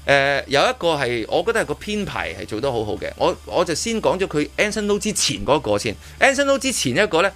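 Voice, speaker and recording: A man's voice: on the high side, wildly swooping pitch, fast, hardly any pauses, fairly bright tone. A man with a Hong Kong Cantonese accent hosting casual radio chat, often repeating words and restarting as he reviews a performance. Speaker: radio host. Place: radio studio.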